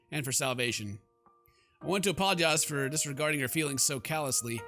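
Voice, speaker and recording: Male let's-play host, theatrical and joking, bright forceful tone, reading and riffing over background music. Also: mid-range voice. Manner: jokey, theatrical. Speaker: gaming video host. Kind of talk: game commentary and reading aloud. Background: game music.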